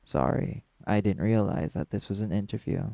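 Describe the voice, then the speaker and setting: A man's voice: moderate pace, warm tone, no echo. A man playing a brash, guarded young werewolf musician in an audio drama, with curt, sarcastic, dismissive delivery. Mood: guarded, sardonic. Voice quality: gravelly, low. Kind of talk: dramatic audio roleplay acting.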